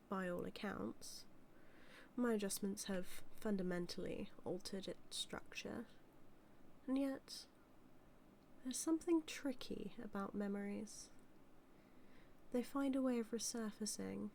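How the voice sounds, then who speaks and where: A woman with a British accent playing a doting, villainous mad scientist in an audio roleplay, musing in a measured, condescending yet tender way. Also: medium pitch, gravelly, warm tone, a little hiss or hum. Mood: pensive, ambitious. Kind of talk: scripted audio roleplay monologue.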